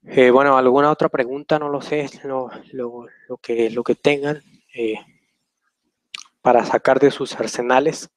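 A man's voice: medium pitch, steady tone, measured pace, dry recording. A young man hosting a casual question-and-answer session over a video call from a small room, rambling and hesitant with many fillers. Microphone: webcam on a video call.

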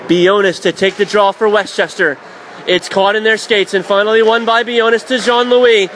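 A man with a high voice calls live hockey play-by-play for radio, tracking every pass and shot and lifting into shouts when the puck nears the net. A faint hiss of noise sits under his voice. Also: steady tone, low-quality recording. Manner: rapid, rising to shouts on shots and saves. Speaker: play-by-play announcer.

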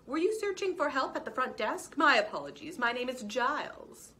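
A woman's voice: sits high, very animated, moderate pace, bright, forceful tone, pitched above the performer's own voice, full character voice. A woman voicing a fussy, overly polite male lizard who works as a hotel clerk, speaking formally and eagerly.

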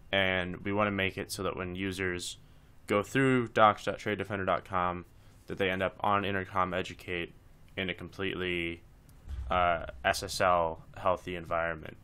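A man's voice: low voice, little pitch movement, normal speed, sharp tone, clear, resonant tone. A man presenting a casual screencast tutorial, talking informally through each step as he instructs.

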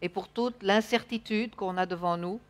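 A woman's voice: medium pitch, normal speed. A woman in her thirties interpreting a sermon live, feeling for her words at times. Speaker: church interpreter. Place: church stage, indoors.

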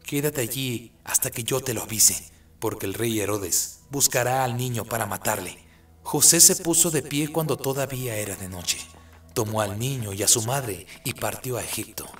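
A man narrating a biblical story from a script as a radio drama narrator. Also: mid-range voice.

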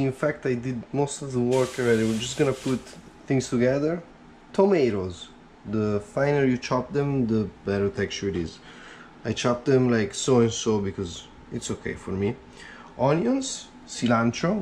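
Slow-talking man cooking in his kitchen. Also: mid-range voice, slight background noise. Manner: relaxed, unhurried, talks while chopping and mixing. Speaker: cooking vlogger. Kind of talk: casual cooking commentary. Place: small home kitchen, indoors. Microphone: head-mounted camera, first-person view.

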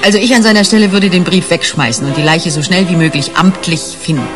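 A woman's voice: fairly low pitch, fast, music in the background, lightly acted. An actress in a 1980s German radio crime play, playing a shrewd, sardonic senior policewoman who talks bluntly and colloquially. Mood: conspiratorial. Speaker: actor in a radio crime drama.

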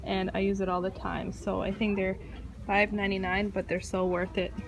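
A young woman films herself wandering the aisles of home decor stores. She chats and gushes about each find and reads prices aloud. Her voice sits at an ordinary pitch, and clear background noise runs under her phone audio. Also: completely flat delivery, moderate pace. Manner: chatty, gushing over items and reading prices aloud. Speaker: vlogger. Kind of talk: casual shop-with-me commentary. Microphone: handheld phone camera.

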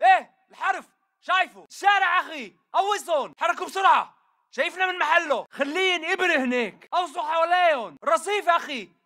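An actor voicing a hostile militant fighter in a video game, barking urgent combat callouts in Levantine Arabic. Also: male voice, very high voice, sharp tone, heavily acted. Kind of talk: shouted video game battle chatter.

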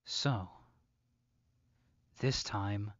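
Psychopathic yandere lover, played by a man for audio roleplay: mock-tender, threatening, very drawn-out delivery, close dry sound. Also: on the low side, fairly bright tone, dry recording, muffled recording. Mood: cold devotion. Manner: slow, drawn-out, mock-tender, often dropping to whispers. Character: obsessive male yandere psychopath captor, possessive and menacing. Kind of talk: intimate roleplay audio drama monologue.

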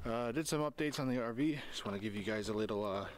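A man's voice: lowish voice, quick pace, a little hiss or hum. Casual rambling man vlogging. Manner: loose, rambling with many fillers. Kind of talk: casual walkthrough vlog. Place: inside an RV, then outdoors on its roof. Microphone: handheld camera mic.

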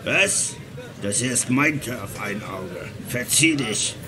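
A man's voice: medium pitch, animated, slow, music in the background. A man playing a surly, threatening watchman who guards his turf, in a fan audio drama.

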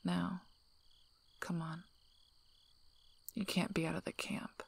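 A woman playing a tough, teasing, gruffly protective werewolf alpha in a soft-spoken, breathy ASMR roleplay, with dry delivery. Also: lowish voice, flat delivery, slow, exaggerated voice. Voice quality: breathy, whispery, husky.

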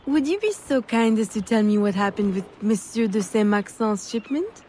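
A woman's voice: medium pitch, expressive, normal speed, mellow, a little hiss or hum, lightly acted. An actress playing a poised, determined young society lady in a video game, formal and politely composed. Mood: politely coy. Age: young adult. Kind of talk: video game cutscene acting. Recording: music behind the voice.

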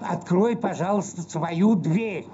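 A man's voice: high-pitched, slow, background noise. A man playing an exasperated, sarcastic resident locked out of his home, complaining irritably. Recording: muffled lo-fi audio with faint music.

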